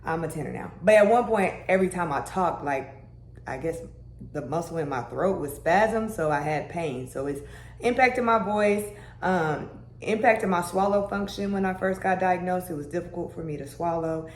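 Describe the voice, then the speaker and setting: Young woman vlogger, low deep voice, conversational and chatty, recorded in an echoey room. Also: normal speed. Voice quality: deeper voice. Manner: chatty, conversational, with "y'all" and "baby" asides. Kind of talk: casual health vlog. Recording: echoey room with faint background hum.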